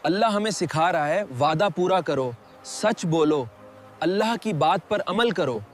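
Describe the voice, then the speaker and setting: A performer playing a patient, earnest religious teacher who explains a scripted children's cartoon lesson in a measured, didactic way. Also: mid-range voice, expressive, a little hiss or hum, lightly acted. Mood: earnest, instructive.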